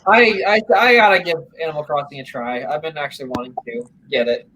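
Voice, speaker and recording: High-voiced man over noticeable background noise. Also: very animated, brisk, pushed and bright, rough recording. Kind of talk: casual group chat about video games.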